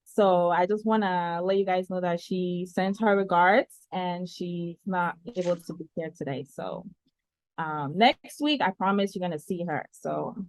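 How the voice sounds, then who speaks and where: A woman hosting a casual live online talk show, unhurried and filling pauses with ums. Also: lowish voice, no room sound, rough recording.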